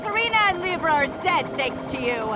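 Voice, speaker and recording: An actress playing a cocky, vengeful villainess in a video game, mocking and menacing. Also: female voice, sits high, very animated, brisk, heavy background noise. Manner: mocking, drawling taunts.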